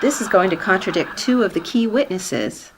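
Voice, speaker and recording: A woman's voice: mid-range voice, lively intonation, normal speed, slight background noise, close to an everyday voice, rough recording. A woman playing a knowing, skeptical government colleague in a sitcom radio play, dry and insistent.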